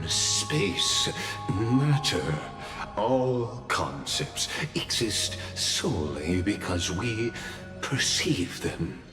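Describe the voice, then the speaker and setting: A man playing a theatrical, smug and playful scholar-narrator in a video game, speaking grandly with teasing, deliberate pauses. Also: on the low side. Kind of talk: dramatic video game monologue. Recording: roomy reverb over music.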